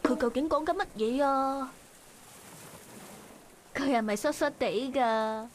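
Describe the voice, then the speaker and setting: A woman's voice: sits high, slow pace, slight background noise. A woman voicing a naive, curious young working girl in a visual novel, earnest and easily startled, with a Hong Kong Cantonese accent. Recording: game voice track over music.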